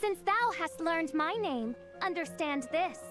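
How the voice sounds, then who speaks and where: A woman's voice: very high-pitched, animated, measured pace, a bit bright, full character voice. A woman voicing a haughty, theatrical teenage girl who poses as a princess in an anime game, speaking in pompous archaic phrases. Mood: imperious. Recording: game music behind the voice.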